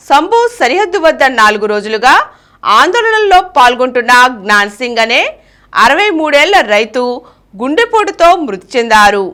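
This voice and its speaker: A woman newsreader with a high, bright voice that moves a lot in pitch. She reads her bulletin in a measured way and lifts each headline. The tone is clear and forceful. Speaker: news reader. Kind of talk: read news bulletin.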